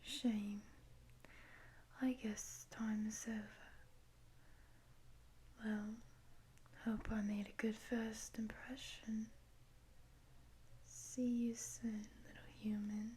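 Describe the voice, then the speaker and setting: A woman voicing a friendly, curious lamia in a roleplay, in a soft breathy whisper, teasing and affectionate, taking her time. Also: fairly high pitch, little pitch movement, extremely slow, long pauses, slight background noise. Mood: wistful. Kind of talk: whispered roleplay audio addressed to the listener.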